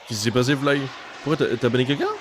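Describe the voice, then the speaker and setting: A man chatting casually and excitably in slang as he reacts live to an anime. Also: medium pitch, fast talker, background noise. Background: anime soundtrack and dialogue.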